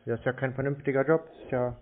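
A man's voice: medium pitch, fairly even intonation, fast. A man in casual phone conversation, needling the other person and playing ignorant. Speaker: prank-taker of scam calls. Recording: muffled, noisy phone recording.

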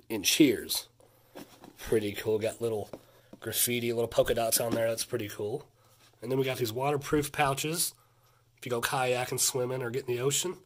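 A man in his thirties, congested from a sinus infection, chatting casually as he shows off a haul indoors. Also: medium pitch. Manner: chatty, easily impressed, drifts into a rant. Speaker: vlogger showing a haul. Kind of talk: casual haul vlog chat.

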